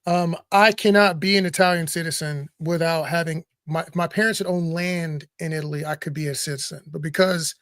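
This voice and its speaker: A man with a notably high voice co-hosting a political talk show, blunt and animated, often breaking into laughter mid-sentence. The sound is dry and close, with no room around it. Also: fairly quick, hardly any pauses, dry recording. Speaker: co-host.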